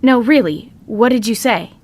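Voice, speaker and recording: High-voiced concerned young friend, played by a woman. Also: moderate pace, darkish tone, lightly acted. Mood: probing. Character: concerned, questioning young female Zoombini friend. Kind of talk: audio drama character acting.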